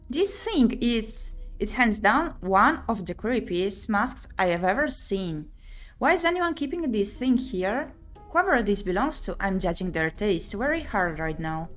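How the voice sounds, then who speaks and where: A woman voicing an uneasy, sardonic young female explorer in a visual novel, with dramatic, wry self-talk. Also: medium pitch. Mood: disgusted, wry. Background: game music.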